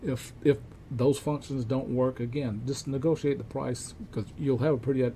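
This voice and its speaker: An older man talks through an equipment demonstration in a low-key, mellow voice with a gravelly edge. He goes step by step, haltingly and methodically. Faint room noise sits behind him in a workshop. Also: poor audio. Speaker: repair technician demonstrating equipment checks. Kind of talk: informal instructional demonstration. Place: cluttered indoor electronics workshop. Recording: clear steady background room noise.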